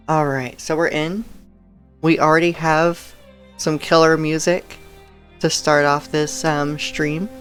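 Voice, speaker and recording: A woman streaming a game and chatting casually to her viewers, her throat a bit dry and raspy, talking in a relaxed, rambling way with soft laughs. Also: low-pitched, slow pace, pauses often, faint music behind. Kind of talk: casual live-stream chat.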